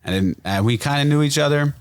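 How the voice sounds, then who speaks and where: A man hosting a casual podcast interview, animated and slangy, swearing and saying "yo" a lot. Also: fairly high pitch, fast, smooth, no room sound. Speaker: podcast host.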